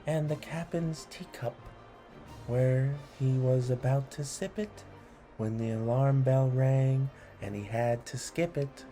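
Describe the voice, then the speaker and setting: A man reading children's rhymes aloud expressively and deliberately, adding encouraging asides to the listener. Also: mid-range voice, warm tone, faint music behind. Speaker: read-along host.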